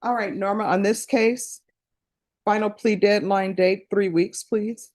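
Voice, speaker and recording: A woman judge running a courtroom docket, brisk, procedural and polite as she sets dates. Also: mid-range voice, fairly even intonation, a little mellow.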